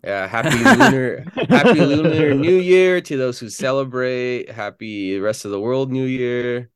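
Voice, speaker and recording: A man's voice: medium pitch, animated, a little slow, crowd noise. A man co-hosting a podcast, playful and jokey in casual chat. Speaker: podcast co-host.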